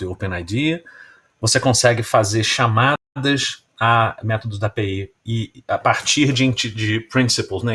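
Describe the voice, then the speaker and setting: A man's voice: on the low side, a bit bright, husky. A man with a Carioca accent from Rio de Janeiro, presenting security research at a conference in an explanatory manner, often checking in with his listeners. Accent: Carioca Brazilian Portuguese. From Rio de Janeiro, Brazil. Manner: explanatory, often tags lines with "né?" and "tá?". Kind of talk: technical conference talk.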